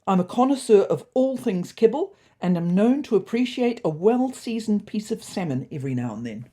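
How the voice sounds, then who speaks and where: A pompous, self-satisfied tomcat played by a woman from a comic storybook. The voice swoops up and down with great self-importance, soft-edged and slightly husky as it lays out fussy, cultured standards. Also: mid-range voice, animated, moderate pace, voice pushed up, natural voice. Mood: pompous, proud. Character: pompous, fussy male choir-singing pet cat with sophisticated pretensions.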